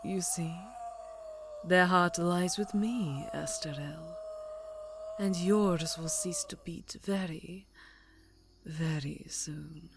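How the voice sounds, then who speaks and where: A woman's voice: fairly low pitch, steady tone, slow, long pauses, noisy background. A woman playing a seductive, cruel vampire countess in a scripted fantasy roleplay, intimate and taunting, often dropping to a whisper. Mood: smug, menacing. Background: background music.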